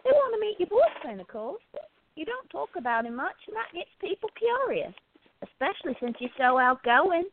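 A woman voicing a quiet, curious gallery worker in a radio play, chatty and gently prodding her friend. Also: very high-pitched, sing-song, moderate pace, slight background noise, pitched above the performer's own voice. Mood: curious, gossipy. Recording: tinny, phone-like narrow sound.

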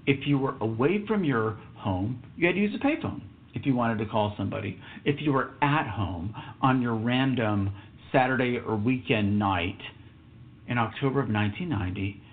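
A man's voice: medium pitch, animated, normal speed. A man in his fifties from Texas hosting a solo podcast, telling a story in a reflective, emphatic way and dropping to a whisper for asides. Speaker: podcast host. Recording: tinny phone-like sound.

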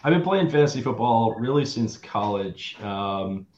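A man's voice: lowish voice, expressive, normal speed, a little mellow. A man in his early forties from Wisconsin, chatting casually as an interview guest, upbeat with lots of filler words. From Wisconsin, USA. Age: early 40s. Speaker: guest, fantasy football player. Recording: roomy, dull lo-fi sound.

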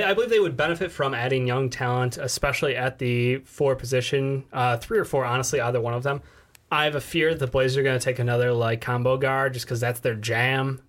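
A man co-hosting a casual sports podcast, talking in a loose, slangy, rambling way. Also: mid-range voice. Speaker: podcast co-host.

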